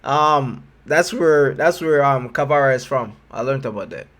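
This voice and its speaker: A man's voice: mid-range voice, normal speed, a little hiss or hum. A sleep-deprived man with a Jamaican accent, chatting casually as he reacts to a video, often restarting his sentences. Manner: relaxed, chatty, trailing self-corrections. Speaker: YouTube reactor.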